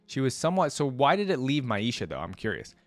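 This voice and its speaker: A man hosting a reaction video, reading aloud and adding casual, curious commentary as he thinks out loud. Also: mid-range voice, wildly swooping pitch, fast talker, no echo, faint music behind.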